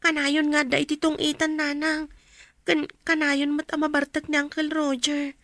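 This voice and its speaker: A woman's voice: high voice, monotone, normal speed, a bit bright, no room sound. A woman acting a dutiful young student daughter in an Ilocano radio drama, earnest and respectful, with a Filipino accent. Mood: plaintive. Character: young female student, dutiful daughter, plaintive but resolute.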